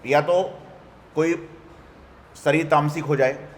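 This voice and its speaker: A Jain monk giving a Hindi sermon, telling stories, asking rhetorical questions and mimicking what people say. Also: male voice, a little high, fairly even intonation, slow. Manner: storytelling with rhetorical questions and mock quotes. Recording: hall reverb, faint background noise.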